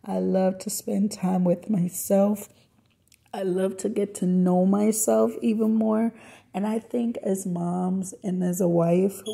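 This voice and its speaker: A young adult woman and mom doing a chatty, soft voiceover for her cleaning vlog, talking to her viewers as y'all and guys. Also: mid-range voice, gravelly, breathy edge. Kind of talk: voiceover narration over cleaning footage. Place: home, recorded as voiceover.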